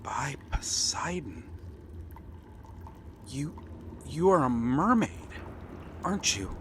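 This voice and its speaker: A man's voice: medium pitch, sing-song, slow, a bit bright, a little hiss or hum. A man playing a nervous, bookish young pirate prince in an ASMR roleplay, hesitant and earnest. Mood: awed, alarmed.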